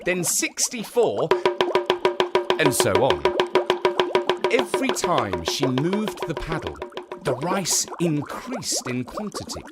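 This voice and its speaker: A man narrating a children's story in an expressive, theatrical storytelling manner. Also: fairly high pitch, slow pace, pauses often, loud music behind the voice.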